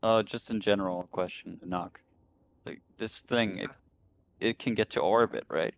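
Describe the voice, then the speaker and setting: A man's voice: fairly low pitch, steady tone, normal speed, vocal fry. A man chatting casually and roleplaying as a player in a tabletop game. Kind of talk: casual chat and in-character roleplay.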